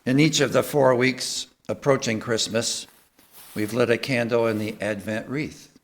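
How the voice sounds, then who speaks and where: Man delivering a measured church sermon. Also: mid-range voice, steady tone, a little hiss or hum. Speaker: service speaker at a church. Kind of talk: sermon and liturgical reading.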